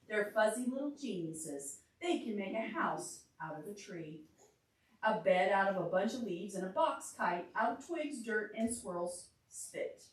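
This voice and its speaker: A woman reading aloud to young children as a storytime librarian, pausing to put questions to them. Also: mid-range voice. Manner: asks the children questions along the way. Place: indoor library storytime room. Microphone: distant room microphone. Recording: echoey room, distant mic, faint noise.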